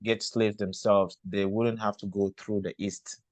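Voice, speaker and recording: A man's voice: fairly low pitch, little pitch movement, a little slow, a crowd in the background. A man hosting an interview, asking long questions while thinking aloud and affirming the guest. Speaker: host.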